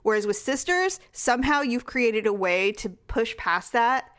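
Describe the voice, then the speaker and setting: A woman's voice: on the high side, animated, fairly bright tone, clear, resonant tone. Blunt woman podcast co-host in her forties. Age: 40s. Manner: blunt, frank, quick to laugh. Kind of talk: casual chat.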